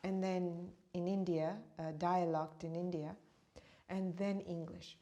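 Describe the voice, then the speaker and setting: A woman's voice: on the low side, level tone, unhurried, dark tone. Earnest middle-aged woman, Swahili native. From Uganda. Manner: earnest, flowing, repeats phrases for emphasis. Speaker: meditation teacher and author. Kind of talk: interview conversation.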